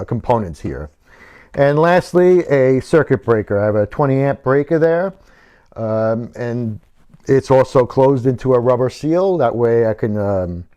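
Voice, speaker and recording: This is a middle-aged man with a voice of average pitch. He talks like a hobbyist showing a build, in an informal technical walkthrough. He explains step by step and rephrases himself along the way. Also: a little mellow. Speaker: radio hobbyist presenting a DIY build.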